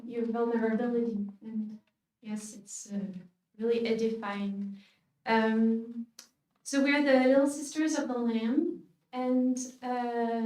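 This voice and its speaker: A religious sister from France with a French accent, giving a humble, hesitant faith talk with many fillers. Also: female voice, a little high, a little mellow. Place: lecture hall. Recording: echoey hall, speaker far from the mic.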